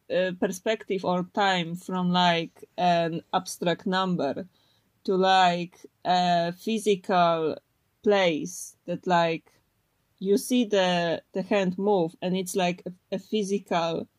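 Podcast co-host: woman, native Polish speaker, unhurried delivery, slangy and playful, close dry sound. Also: on the low side, fairly even intonation, no room sound. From Poland. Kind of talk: casual unscripted chat.

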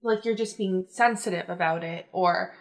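A young woman in a casual conversation, explaining ideas in a measured way with frequent fillers. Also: lowish voice.

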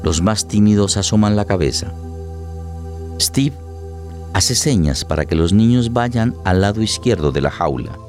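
Radio drama narrator, male, fairly low register, scripted dramatic storytelling over a soft music bed. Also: animated, measured pace.

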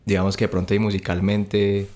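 A young man with a Bogotá Colombian accent, telling his story as a podcast guest in a halting, relaxed way with many restarts. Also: fairly low pitch.

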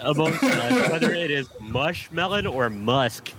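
Man, slow and drawn out, swooping pitch, voices behind him. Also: lively intonation, over a noisy crowd. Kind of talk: casual group chat.

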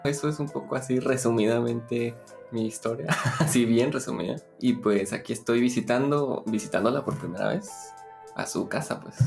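A man's voice: mid-range voice, normal speed, gravelly, a little mellow, faint music behind. A man from Guatemala co-hosting a podcast in loose, casual chat, recorded at a home, with a habit of tagging his sentences with little fillers. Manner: loose and chatty, leans on the fillers "pues" and "¿no?". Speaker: podcast co-host. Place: a home in Mexico, recording in person.

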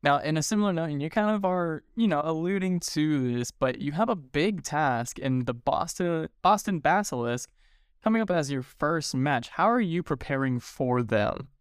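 Clear-voiced man co-hosting sports interviews. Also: on the high side, animated, normal speed, dry recording, clean studio sound. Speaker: sports show co-host and interviewer.

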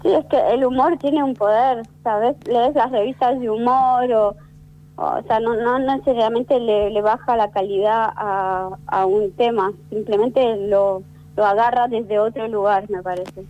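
A woman guest, French by first language, speaking thoughtfully with many pauses and restarts in an interview. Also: fairly high pitch, fairly even intonation, lo-fi sound. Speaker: interview guest, actress and writer. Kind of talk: conversational interview.

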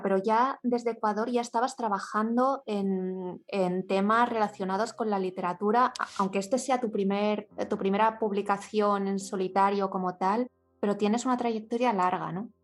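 A woman with an accent from Spain speaks at an average pitch over faint music. She is interviewing conversationally, feeling her way into each point with tentative, halting phrases. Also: fairly even intonation. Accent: Spanish from Spain.

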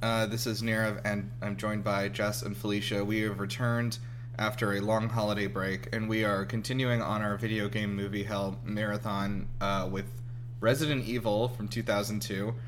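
A man's voice: lowish voice, level tone, normal speed, noisy background. A man hosting a casual movie reaction chat in a wry, joking way. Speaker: host.

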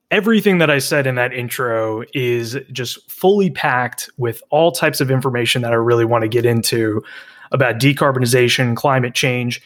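A young man hosting a podcast, introducing his guest and chatting in an upbeat, casual way with plenty of fillers. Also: medium pitch. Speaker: podcast host.

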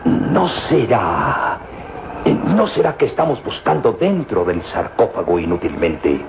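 An actor playing an earnest, anxious scientist father in a radio serial, with a dramatic, halting delivery that repeats words. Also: sits low, sing-song, gravelly, dull audio, full character voice. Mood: urgent, hopeful. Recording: noisy, band-limited old radio drama recording.

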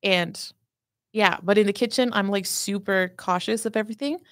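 A woman's voice: mid-range voice, normal speed, no echo, clean studio sound. A woman from Mexico, a pastry chef telling stories as a podcast guest, chatty and self-deprecating. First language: Spanish. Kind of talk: casual interview chat.

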